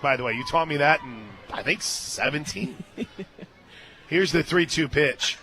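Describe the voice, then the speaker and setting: An American man doing live radio play-by-play of softball from a stadium booth, mixing casual banter with animated calls of the action. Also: unhurried. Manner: animated and shouting on big plays, conversational between pitches. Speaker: play-by-play announcer.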